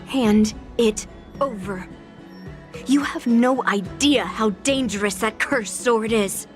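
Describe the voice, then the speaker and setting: Blunt, stubborn young swordswoman for a game; raised pitch, forthright delivery, faint score behind. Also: slow, faint music behind. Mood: accusing, demanding. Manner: forthright, insistent. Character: headstrong, blunt young female sword fighter. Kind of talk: dramatic video game voice acting.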